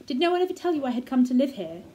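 A woman voicing a sickly, bitter bedridden young boy who speaks slowly and plaintively. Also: high-pitched, sing-song, mellow, voice pushed up.

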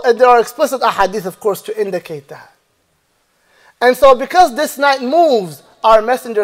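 A man in his thirties preaches a Friday sermon from the mosque pulpit with a high, clear voice. He speaks into a stand microphone in a measured preaching cadence. The long reverb of the prayer hall trails each phrase. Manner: measured preaching, recites Arabic verses rapidly then translates slowly. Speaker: imam. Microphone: stand mic and lapel mic.

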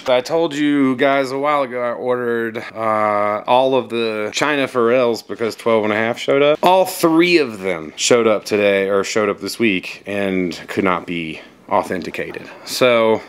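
A man's voice: mid-range voice, measured pace, hardly any pauses, a little hiss or hum. A man in his thirties vlogging in casual, rambling chat, swearing offhandedly, filmed on a handheld camera close up. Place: living room couch, also a gym store. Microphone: handheld camera close to face.

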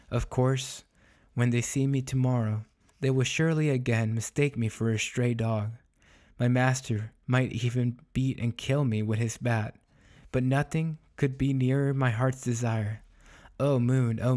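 Storybook dog, bitter and grieving, played by a male reader, solemn formal confession, mid-pitched, plaintive. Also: darkish tone, lightly acted. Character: bitter, sorrowful runaway dog confessing to the moon. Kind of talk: literary storybook soliloquy.

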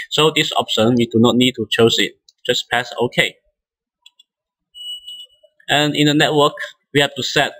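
A man who speaks English as a non-native language, giving a step-by-step software tutorial in a halting, hesitant way with frequent restarts. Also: medium pitch, rough recording. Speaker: instructor demonstrating software.

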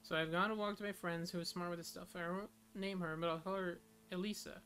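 A woman's voice: lowish voice, fairly even intonation, fast talker, a bit bright, a little hiss or hum. A young woman streamer giving casual reaction commentary and reading captions aloud.

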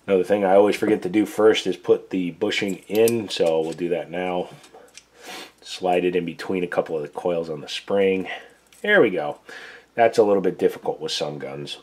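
Hoarse male host, very low voice, plain-spoken workbench demo. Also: a little mellow. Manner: plain-spoken, step-by-step instructions. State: losing his voice, hoarse. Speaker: gun reviewer, show host. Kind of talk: casual tabletop demo. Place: indoor workbench.

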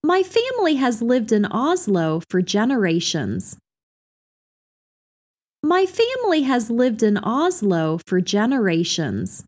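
A woman reads short practice phrases for an English lesson, slowly and with clear, careful enunciation. Her voice sits a little high, and her pitch moves up and down in a lively way. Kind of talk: slow scripted reading of practice phrases.

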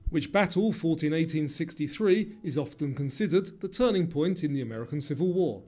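A man with a fairly high, mellow voice narrates a scripted quiz. He reads in a measured, formal way, with faint music underneath. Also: expressive. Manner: measured, formal question reading.

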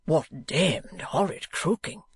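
Foppish storybook husband acted by a narrator: languid, very slow, affected, gushing, dry close recording. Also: medium pitch, gravelly, husky, no room sound, exaggerated voice. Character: foppish, idle, gushingly amorous husband, a dandy.

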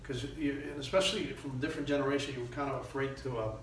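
A man's voice: medium pitch, fairly even intonation, very fast. Middle-aged Miami man in a very echoey room. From Miami, United States. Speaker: actor, interview guest. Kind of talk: conversational interview answers. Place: small indoor room. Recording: echoey room, distant mic, faint noise.

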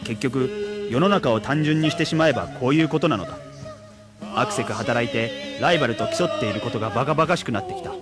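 A man's voice: medium pitch, expressive, fast, loud music behind the voice, natural voice. A man acting in a Japanese radio drama as a hapless, rueful salaryman who also narrates his own slide. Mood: wry, resigned. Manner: wry, resigned, theatrical when upset. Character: hapless rueful salaryman sliding into drink and debt.

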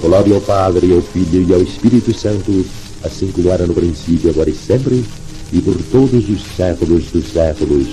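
A man with a Brazilian accent solemnly reciting prayer as a 1950s radio announcer, formal and reverent, on an old 78rpm disc. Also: deep voice. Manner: formal, reverent, measured oratory. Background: music, record crackle.

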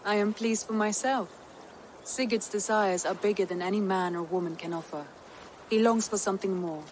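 A woman's voice: medium pitch, a little hiss or hum, close to an everyday voice, poor audio. Composed, tender Viking noblewoman played by an actress. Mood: earnest, calm. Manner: measured, earnest, formal phrasing. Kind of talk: dramatic video game cutscene acting.

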